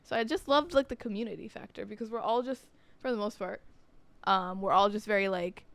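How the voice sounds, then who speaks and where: A young woman speaks as a podcast co-host in a casual, earnest way. Her mid-pitched voice is very smooth and clear. She keeps it conversational throughout. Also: brisk, a bit bright, dry recording.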